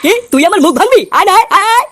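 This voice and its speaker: A man voicing a bullying, grumbling middle-aged father in a comic cartoon, scolding and blustering in a pitched-up voice. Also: lively intonation, racing, bright, forceful tone, no room sound, clean studio sound, exaggerated voice. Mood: irate. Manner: scolding, blustering, foul-mouthed.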